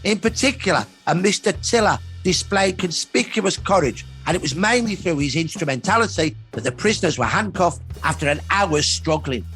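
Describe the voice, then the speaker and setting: A man's voice: high-pitched, expressive, normal speed, hardly any pauses, a bit bright. A man giving an emphatic, earnest expert account of history in a documentary interview. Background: background music.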